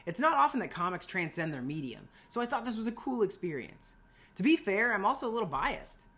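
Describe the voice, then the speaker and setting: A woman's voice: mid-range voice, big pitch swings, fairly quick, a bit bright. A woman teaching an informal scripted lesson for middle schoolers, chatty and playful with emphatic asides.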